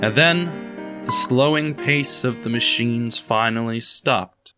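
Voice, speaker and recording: A man narrating a radio drama in a measured, somber storytelling voice. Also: medium pitch, music in the background. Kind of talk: scripted narration.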